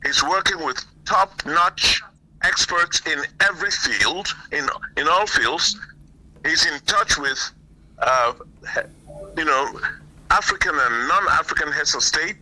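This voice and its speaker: A man giving earnest, deliberate political commentary on a call, repeating phrases for emphasis. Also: a little high, animated, sharp tone, poor audio. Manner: halting, deliberate, emphatic repetition. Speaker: guest caller, political commentator. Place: remote call.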